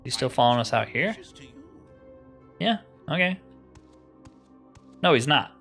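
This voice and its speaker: A man's voice: mid-range voice, expressive, a little slow, creaky, a bit bright, faint music behind. A man giving casual, informal let's play commentary on a roleplaying video game, thinking aloud as he plays.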